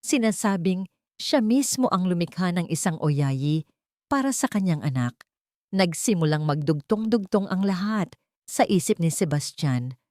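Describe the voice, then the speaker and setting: A woman narrates a scripted drama in a fairly low voice. The sound is dry, with very little room. She breaks the story into short phrases with deliberate pauses. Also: animated, darkish tone, no echo. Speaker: narrator of audio love stories.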